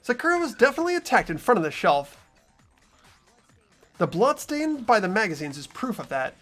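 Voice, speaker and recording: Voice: mid-range voice, very animated, a little fast, a bit bright, faint music behind. A player voicing an earnest young student sleuth. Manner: earnest, reasoning aloud. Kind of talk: fan voice acting of a game.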